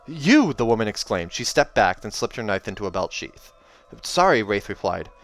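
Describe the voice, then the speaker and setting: A man with a fairly low voice narrates a fantasy story. He moves between storybook reading and informal, self-correcting commentary. Faint music plays behind him. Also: brisk, fairly bright tone. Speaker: narrator reading a novel aloud and reacting. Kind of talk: audiobook narration with casual asides.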